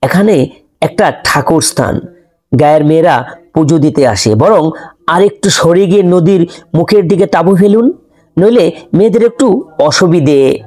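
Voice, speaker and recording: A man voicing a polite elderly village gentleman who explains local matters in an audiobook story. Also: fairly high pitch, a little slow, long pauses. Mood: politely advising. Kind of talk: audiobook character dialogue.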